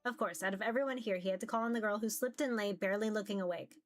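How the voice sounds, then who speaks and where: A woman's voice: medium pitch, rapid-fire, faint music behind. A woman streamer reading a visual novel aloud as narration and commenting casually as she plays.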